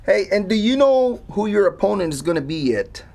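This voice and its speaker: Man, high pitch, interviewer, casual sports talk, conversational, direct questions. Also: very animated, fairly quick, darkish tone.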